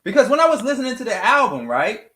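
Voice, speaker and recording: A man's voice: extremely high pitch, big pitch swings, fast, pushed and bright, echoey room. A man hosting a morning show, talking casually about music and repeating phrases for emphasis. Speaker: radio morning show host.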